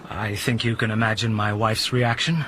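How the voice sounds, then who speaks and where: A man's voice: fairly low pitch, steady tone, normal speed, a bit bright, close to an everyday voice. Man doing an ex-teacher being questioned, frank and rueful, faint hiss. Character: rueful former schoolteacher under suspicion.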